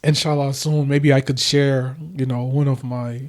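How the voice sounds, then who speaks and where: A man's voice: mid-range voice, little pitch movement, moderate pace, darkish tone. A man from Haiti who moved to America as a child, chatting casually as a podcast guest and peppering his speech with "like" and "you know". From Haiti, moved to America at eight. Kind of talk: casual conversational interview.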